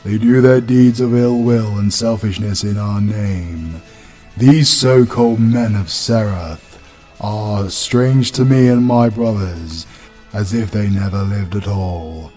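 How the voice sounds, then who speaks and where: A British man playing a jolly, fatherly Christmas spirit with dark humour, hearty and teasing in a comedic audio play. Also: fairly low pitch, slow, soft background music. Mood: solemn. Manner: hearty, grandly paternal, teasing. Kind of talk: comedic audio roleplay acting.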